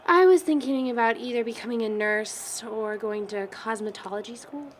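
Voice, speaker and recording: A woman's voice: a little high, moderate pace, a little hiss or hum, natural voice. A young woman playing a chatty college student in an indie film, with a teasing, informal manner. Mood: candid, unsure. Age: young adult. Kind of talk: naturalistic amateur film dialogue.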